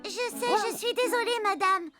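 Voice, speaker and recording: A woman's voice: very high-pitched, moderate pace, music in the background. A woman voicing an eager, playful little girl with a childlike manner in a French-dubbed cartoon. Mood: apologetic. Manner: childlike, enthusiastic.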